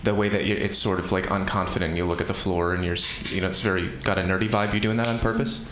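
A man giving calm, constructive feedback to a comedian on her set. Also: low voice, quick pace, roomy sound, background noise. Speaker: comedy workshop critic.

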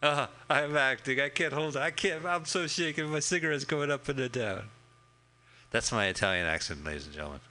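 A man's voice: mid-range voice, expressive, fairly quick, pushed and bright. A man co-hosting a podcast, chatting casually and jokingly about a film as it plays, swearing often and rambling. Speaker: podcast co-host. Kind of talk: casual movie commentary chat.

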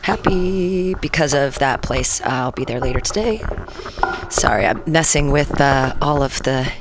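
A woman's voice: very deep voice, lively intonation, a little slow, noisy background. A woman hosting a casual talk radio show, loose and chatty, swearing freely between segments.

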